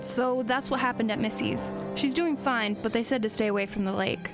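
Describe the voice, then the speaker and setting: Woman playing a high-voiced, practical small-town girl. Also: over loud music, close to an everyday voice. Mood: earnest, determined. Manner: brisk, practical, earnest. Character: plucky, matter-of-fact small-town teenage girl sleuth. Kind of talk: audio drama acting.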